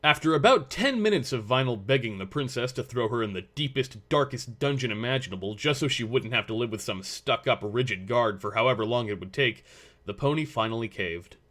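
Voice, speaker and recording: A man's voice: mid-range voice, animated, a little fast, a bit bright. A man reading scripted story narration as the narrator of a fan fiction audio drama.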